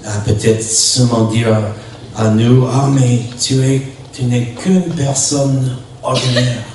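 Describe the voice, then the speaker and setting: A man from New Brunswick, a native English speaker with an English-speaking Canadian accent, preaches as a visiting pastor in a halting way, in short phrases, searching for words. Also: mid-range voice, fairly even intonation, a little mellow. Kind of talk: sermon. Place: church hall during a worship service. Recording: echoey hall, distant mic, faint noise.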